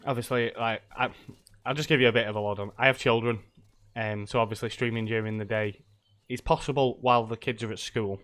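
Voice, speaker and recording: A man's voice: mid-range voice, fairly even intonation, a little fast, a bit bright. A middle-aged man, stuffy from a cold, chatting casually and rambling with lots of ums and laughs while live-streaming a game.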